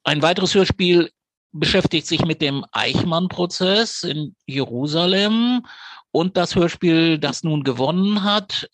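A man's voice: on the high side, unhurried, fairly bright tone, no room sound. A man hosting a podcast interview, moderating in a conversational style. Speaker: podcast host.

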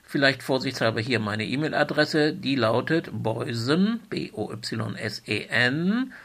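A man's voice: mid-range voice, animated, measured pace, a bit bright. A man hosting a podcast in an informal moderating style. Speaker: podcast host.

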